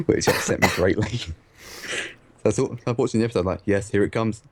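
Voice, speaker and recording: A man's voice: lowish voice, moderate pace, dry recording. A man from England co-hosting a gaming livestream, chatting casually and joking with frequent laughs. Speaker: server livestream co-host. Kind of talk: casual chat.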